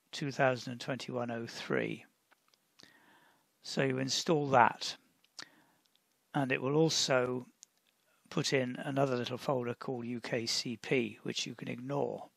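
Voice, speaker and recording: A man narrating a step-by-step software tutorial in a deliberate, instructional way, often pausing mid-sentence. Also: medium pitch, gravelly, no room sound. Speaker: tutorial presenter.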